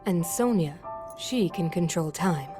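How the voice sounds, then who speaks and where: Composed brainy ancient sage for a video game, precise, explains things, music behind. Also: female voice, on the low side, expressive, measured pace. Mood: explanatory. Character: composed, scholarly ancient woman sage and inventor. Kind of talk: video game cutscene voice acting.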